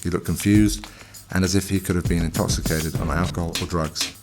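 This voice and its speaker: A man speaking as a police officer, giving a calm, matter-of-fact account of an incident. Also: low voice, fairly quick, over music. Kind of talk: scripted narration.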